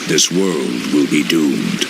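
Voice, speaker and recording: Casting: oeroude druïdeleider, ernstig en plechtig, heel diep en rasperig. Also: male voice, lively intonation, slow pace, background noise, exaggerated voice. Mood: grave. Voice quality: deep, gravelly, solemn. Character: ancient male elf druid leader, grave. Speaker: game cutscene character. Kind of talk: game cutscene acting. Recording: voice over loud music.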